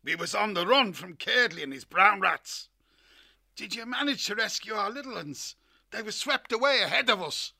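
A man's voice: high voice, lively intonation, normal speed, vocal fry, bright, forceful tone, pitched above the performer's own voice, full character voice. A man voicing a tough male shrew crew leader who banters in a rustic dialect. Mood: bantering.

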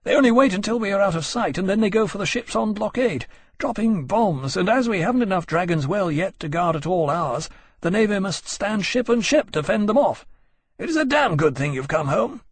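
A man's voice: high voice, animated, fairly quick, breathy edge, no room sound, pitched above the performer's own voice, full character voice. Audiobook captain, acted by a male narrator: frank, embarrassed, harried, raised male voice, dry sound. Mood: worried, emphatic. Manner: rueful, emphatic.

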